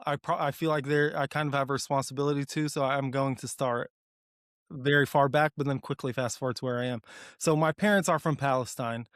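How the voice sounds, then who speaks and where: A man's voice: medium pitch, fairly even intonation, fairly quick, fairly bright tone, clean tone, no room sound. Earnest man in his thirties, dry sound. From Dallas, Texas, US. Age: 30s. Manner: eager, earnest, with many "like" fillers and restarts. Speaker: guest, applied research leader. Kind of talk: conversational interview.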